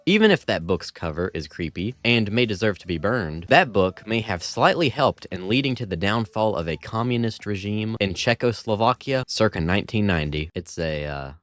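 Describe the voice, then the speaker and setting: A man's voice: on the low side, a bit bright. A man hosting a video essay, delivering casual scripted commentary in a wry, understated way. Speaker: YouTube video essayist, host.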